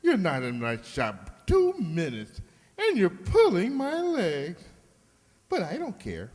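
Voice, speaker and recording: An actor playing an effusive, flattering beauty-salon stylist in a flowery, affected manner, in a comic radio play. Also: male voice, high-pitched, slow pace, full character voice. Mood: theatrical, flattering. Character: gushing, theatrical male hairdresser, flattering and two-faced. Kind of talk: comic old-time radio sitcom acting. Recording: echoey hall with long reverb.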